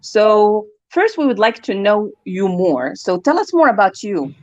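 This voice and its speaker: A woman hosting an online interview, polite and measured, with some fillers, over a video call. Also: mid-range voice, big pitch swings, a little mellow, no echo. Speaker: host. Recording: dry, dull lo-fi audio.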